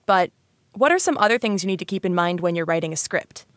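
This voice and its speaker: A woman's voice: fairly low pitch, lively intonation, fast, dry recording. Playful, chatty woman podcast host, dry close sound. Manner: playful, self-deprecating, frequent "like". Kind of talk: casual, chatty educational podcast.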